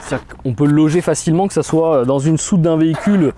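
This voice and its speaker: A man in his thirties talks through a product demo outdoors, chatty and explanatory, filling pauses as he goes. His voice sits fairly high but sounds mellow. Faint outdoor noise hangs behind him. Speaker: product demonstrator. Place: outdoor car lot beside a car.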